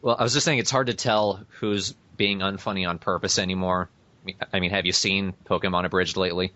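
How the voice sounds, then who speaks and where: Really deep voiced guy hosting a podcast, dry and blunt, muffled lo-fi sound. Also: fairly even intonation, brisk, pushed and bright. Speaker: podcast host. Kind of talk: casual chat. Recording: dull lo-fi voice chat.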